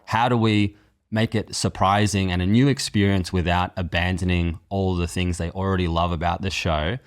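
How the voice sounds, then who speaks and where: A man's voice: sits low, steady tone, normal speed, smooth, no echo, studio quality. A man speaking earnestly as a co-writer and script producer in a reflective podcast conversation, often framing points as questions. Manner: earnest, explains by posing rhetorical questions.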